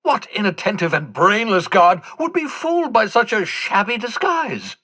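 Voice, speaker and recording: An actor playing an eccentric, vain older mad scientist in a video game, with a husky voice and a theatrical, erudite, rambling manner. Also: male voice, high-pitched, big pitch swings, moderate pace, sharp tone, full character voice. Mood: indignant, emphatic. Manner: theatrical, erudite, rambling, swinging from mutters to exclamations. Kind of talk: video game character dialogue.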